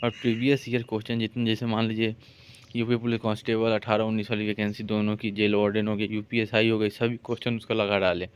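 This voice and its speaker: Low, flat-voiced man coaching. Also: fast talker, smooth. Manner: repetitive, instructive, addressing students directly. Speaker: exam-prep coach. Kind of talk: informal exam-advice lesson.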